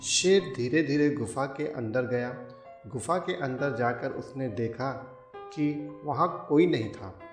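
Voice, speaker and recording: A man narrating a scripted children's cartoon story in a steady, clear storytelling manner. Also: medium pitch, slow. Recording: echoey with music under it.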